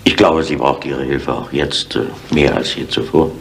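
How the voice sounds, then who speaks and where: An actor playing a firm, probing police inspector who presses deliberately in a seventies radio crime drama. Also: male voice, extremely low pitch, creaky. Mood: grave, pressing. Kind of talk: 1970s German radio crime drama acting. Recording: old radio recording with faint noise.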